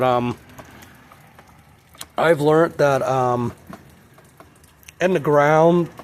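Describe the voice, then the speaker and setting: Hoarse man rambling about plants. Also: medium pitch, slight background noise. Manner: rambling, easygoing. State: hoarse from a cold. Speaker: plant vlogger. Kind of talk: casual plant vlog.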